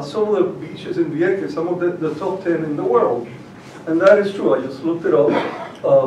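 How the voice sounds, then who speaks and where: A man's voice: on the high side, a little mellow, a little hiss or hum. Older puerto rican guy giving a library talk, rambly with lots of uhs, sounds far off and kinda muffled. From Puerto Rico. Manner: anecdotal, many fillers and restarts. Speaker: guest speaker. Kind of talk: public talk. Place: library meeting room. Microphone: far camera. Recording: roomy, distant, dull audio.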